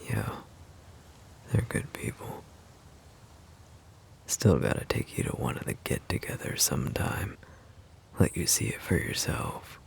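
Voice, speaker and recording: Man voicing gentle bashful wolf-shifter boyfriend, ASMR roleplay, breathy half-whisper, rock-bottom pitch, halting soft delivery. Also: sing-song, full character voice. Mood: tender, unsure. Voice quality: very deep, breathy, gravelly murmur. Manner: hesitant, halting, soft-spoken. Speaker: audio roleplay performer.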